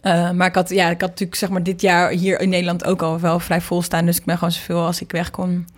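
Lower-pitched woman in casual conversation. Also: monotone, fast. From Netherlands. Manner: informal, explanatory, thinking aloud. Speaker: interviewed singer and producer.

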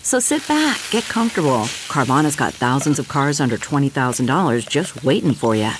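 A woman's voice: extremely low pitch, big pitch swings, brisk, noisy background. A woman reading a scripted advertisement as an announcer. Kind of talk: scripted ad read.

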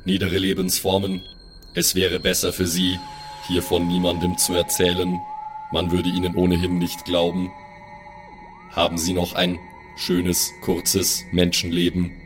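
A man voices a cold, haughty alien for an improvised audio play. His voice is low, and he speaks in clipped, formal phrases full of condescension. Faint music plays behind him. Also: completely flat delivery, measured pace. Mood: disdainful. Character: haughty, cold alien son scorning lesser lifeforms.

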